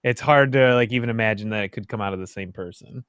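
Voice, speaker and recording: A man, chatting casually and haltingly, often restarting his words. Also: on the low side, fairly bright tone, clean tone, dry recording, clean studio sound. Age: 30s. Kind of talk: casual fan chat.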